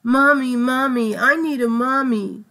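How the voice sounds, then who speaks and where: A woman's voice: high voice, unhurried, sharp tone, voice pushed up, full character voice. A woman voicing a small, lonely young male bird in a storybook, plaintive and earnest. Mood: crying, pleading.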